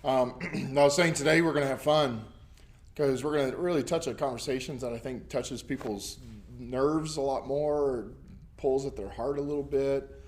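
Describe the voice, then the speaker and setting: A man's voice: mid-range voice, moderate pace. A man with a scratchy voice leading a church Bible study, talking casually and rambling with many ums and restarts. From United States. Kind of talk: casual teaching to a small group. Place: church classroom. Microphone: camera recording.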